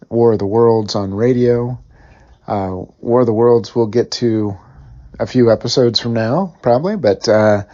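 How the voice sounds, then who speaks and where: Low-voiced man casually introducing a podcast. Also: darkish tone. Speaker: podcast host. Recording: slightly dull recording.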